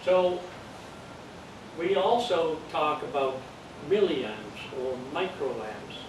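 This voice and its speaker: An older man with a Dutch accent teaches an electronics class in a patient, step-by-step way, checking in with the room. His voice sits a little high for a man. It is picked up from across a very echoey room, with a clear hiss of background noise. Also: lively intonation, very slow. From Netherlands. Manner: plain, patient explaining with jokes and check-ins. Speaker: amateur radio course instructor. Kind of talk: classroom lecture. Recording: echoey room from far off, noisy.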